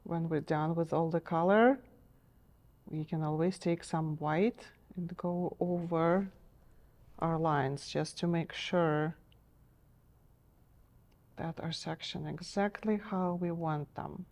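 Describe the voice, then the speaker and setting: A middle-aged woman teaching a step-by-step painting lesson in a TV studio, gentle and encouraging, her voice a little strained as she is losing it. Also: low voice, fairly even intonation, slow, pauses often. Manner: gentle, encouraging, checks in with "okay?". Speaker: painting instructor.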